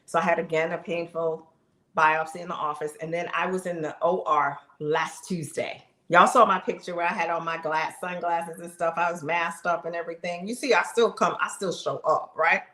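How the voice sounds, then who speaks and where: A middle-aged woman with a fairly low voice speaks straight to her viewers on a live stream, animated and chatty. The sound rings off the walls of an echoey room. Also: normal speed. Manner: animated, talks straight to the audience, exclaims, laughs. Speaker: live streamer sharing her health journey. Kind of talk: casual testimony to viewers.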